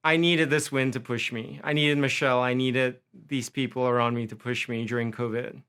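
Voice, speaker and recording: A man's voice: mid-range voice, fairly even intonation, normal speed, a bit bright, smooth. A man with a Trinidadian accent giving a prepared lecture, impassioned and building through long lists. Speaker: university faculty lecturer.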